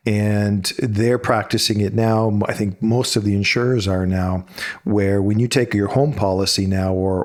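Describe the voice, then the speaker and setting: A lower-voiced man hosts a podcast from a video call. He asks loose, rambling questions, circling back and restarting as he searches for the point. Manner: rambling, many fillers and restarts. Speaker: podcast host. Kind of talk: casual interview questions.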